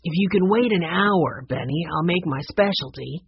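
A woman voicing a practical, confident older boy in a storybook, decisive and steady. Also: on the low side, normal speed, muffled recording, slight background noise, lightly acted.

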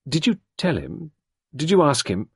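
A man's voice: fairly high pitch, sing-song, moderate pace, creaky, slightly rough, no room sound, clean studio sound, voice pushed up, exaggerated voice. A man voicing an anxious, earnest young woman with a raised, pleading voice.

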